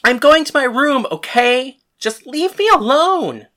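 Death god, narrating lead in audio drama: female actor, deep-pitched, brooding, bitter, defiant. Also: animated, moderate pace, a bit bright, heavily acted. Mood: furious, defiant. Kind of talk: first-person dramatic narration and dialogue.

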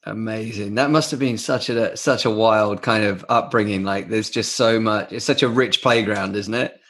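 A man's voice: lowish voice, steady tone, a bit bright. A man hosting an interview podcast, enthusiastic and chatty, often repeating his words. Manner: enthusiastic, repeats himself, quick bursts. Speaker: podcast host. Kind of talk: casual interview chat.

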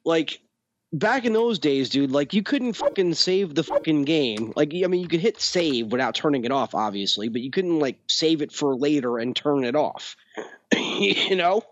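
A man in his thirties swears his way through an excitable, casual rant. The recording is dull and lo-fi, and very dry with no room sound. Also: a little high, normal speed, no room sound. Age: 30s. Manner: profane, excitable ranting. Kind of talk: casual chat.

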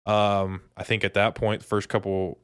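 A man co-hosting a podcast with casual, informal banter, thinking out loud as he talks. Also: lowish voice, little pitch movement, normal speed, clean tone, no echo, clean studio sound. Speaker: podcast co-host. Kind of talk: casual sports and trivia banter.

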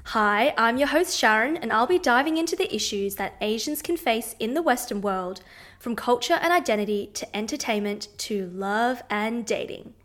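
A woman's voice: a little high, moderate pace, a bit bright. Upbeat woman podcast host. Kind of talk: podcast chat and scripted intro.

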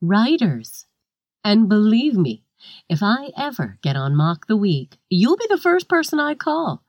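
A woman's voice: medium pitch, wildly swooping pitch, a little slow, no room sound, dull audio. A woman playing a scathing, foul-mouthed comedian in a scripted comic argument, with a sarcastic, cutting delivery. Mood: scornful. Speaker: guest comedian in a scripted satire sketch.

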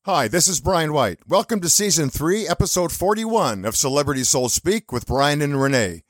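An older man with a deep, rich radio voice, reading a scripted show introduction in a polished announcer style. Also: sing-song, moderate pace, no room sound. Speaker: podcast co-host.

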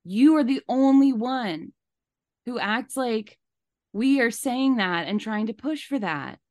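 A woman's voice: fairly high pitch, expressive, slow, darkish tone. A woman co-hosting a podcast, giving indignant, emphatic commentary and often repeating phrases for weight. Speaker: podcast co-host.

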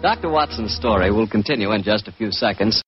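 A man's voice: lowish voice, lively intonation, brisk, dull audio, over loud music. A man announcing and chatting as the presenter of a 1940s radio drama, on an old recording.

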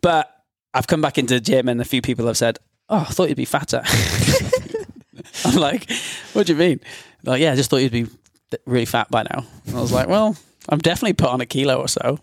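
A man's voice: mid-range voice, animated, fairly quick, no room sound, clean studio sound. A man hosting a podcast in an informal, bantering, chatty way. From North Wales, UK. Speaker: podcast host. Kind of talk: casual podcast chat.

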